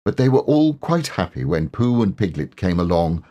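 A man reading a children's story aloud as narrator, in a measured, careful and expressive way. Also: low voice. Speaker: audiobook narrator, voice actor.

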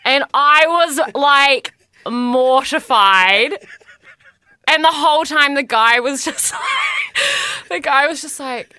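A young adult woman with a New Zealand accent, chatting as a podcast guest and telling animated stories with plenty of laughter. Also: high-pitched, takes it slowly, slight background noise. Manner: animated storytelling, laughs often, acts out other voices. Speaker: guest actress. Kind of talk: casual podcast chat.

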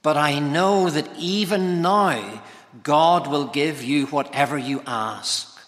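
A man delivers a sermon in a bright, forceful voice pitched a little high. He phrases things deliberately and with emphasis, and his words ring with the long reverb of a hall. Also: lively intonation. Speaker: preacher. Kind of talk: expository sermon. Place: large reverberant church or hall.

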